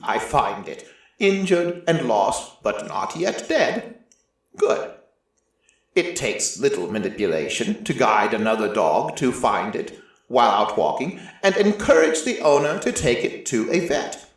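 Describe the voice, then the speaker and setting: A man's voice: medium pitch, big pitch swings. Guy doing an old brooding god of prophecy, swooping dramatic delivery, huge echo, storytelling. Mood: grave, watchful. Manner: slow, deliberate, dramatic pauses. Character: ancient, merciless god of prophecy, brooding and solemn. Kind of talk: dramatic first-person storytelling. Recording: heavily echoing, distant-sounding voice.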